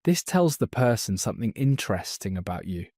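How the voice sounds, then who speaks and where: A man teaching a beginner English lesson, speaking slowly and clearly so learners can follow. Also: on the low side, big pitch swings, darkish tone, no room sound, clean studio sound. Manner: slow, clear, deliberate delivery for learners. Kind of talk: slow scripted lesson narration.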